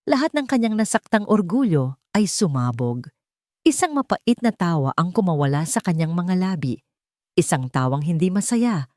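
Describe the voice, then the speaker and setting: A woman's voice: low-pitched, a little mellow, no room sound, clean studio sound. A woman reading a dramatic romance story as a scripted narration.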